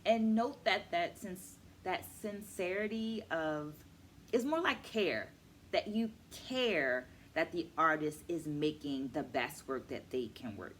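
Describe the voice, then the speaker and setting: Low-voiced woman hosting a book vlog. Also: lively intonation, slow, a bit bright, slight background noise. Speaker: host of a virtual book club, educator. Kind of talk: vlog book review, explanatory talk to camera. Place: small furnished room at home, indoors.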